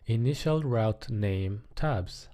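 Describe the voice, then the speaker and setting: A man's voice: medium pitch, animated, takes it slowly, mellow. A man live coding in a casual way, thinking aloud and muttering hesitantly to himself.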